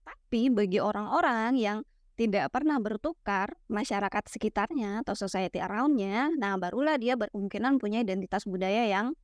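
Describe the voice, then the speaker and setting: A young woman from West Sumatra explaining ideas in a relaxed, teacherly chat, full of fillers and tag questions. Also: mid-range voice, normal speed, no room sound, clean studio sound. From West Sumatra, Minangkabau. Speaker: guest explaining literary theory.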